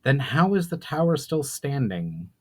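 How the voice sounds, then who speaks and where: A man's voice: mid-range voice, very animated, measured pace, a little mellow, pitched above the performer's own voice. Man as an inquisitive elf hero. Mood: puzzled. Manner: emphatic, inquisitive. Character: curious, forthright young elf hero questioning an elder. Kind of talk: dramatic audiobook reading.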